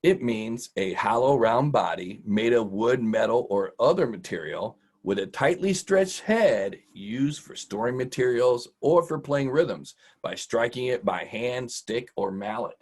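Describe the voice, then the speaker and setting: Male host, mid-pitched and husky, folksy and encouraging, teaching words to young readers. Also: expressive, a little slow, few pauses, a little mellow. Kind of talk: casual children's vocabulary lesson.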